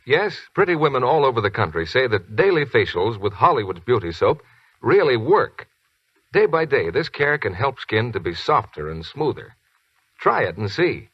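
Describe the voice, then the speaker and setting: 1940s male announcer, husky and animated, dry lo-fi sound. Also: medium pitch, normal speed, no echo. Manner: smooth, persuasive. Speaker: radio announcer. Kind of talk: scripted commercial announcing. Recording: dry, muffled 1940s radio broadcast.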